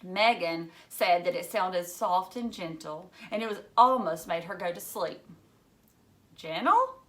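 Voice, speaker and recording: A woman teacher reading a story aloud to children in an expressive way, emphasizing key words. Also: fairly low pitch, measured pace.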